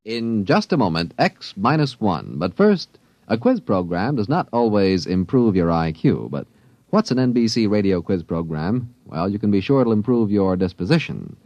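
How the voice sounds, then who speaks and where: Muffled old broadcast, American male announcer, lowish pitch, lively promotional read. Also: moderate pace, dry recording. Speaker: radio announcer. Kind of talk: 1950s scripted radio promo. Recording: dull old radio recording.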